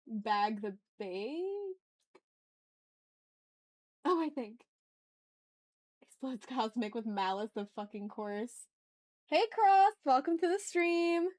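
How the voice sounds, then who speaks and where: A woman's voice: a little high, sing-song, slow, pauses often, a little mellow, no echo, clean studio sound. A woman chatting casually and playfully with her viewers as she hosts a live art stream. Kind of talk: casual livestream chat while drawing.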